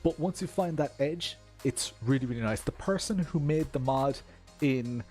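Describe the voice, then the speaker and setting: An Irish man with a mid-pitched voice chats casually with viewers on a sim racing stream. He is self-deprecating and laughs easily, and faint music plays underneath him. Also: moderate pace, darkish tone. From Ireland. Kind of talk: casual live-stream chat.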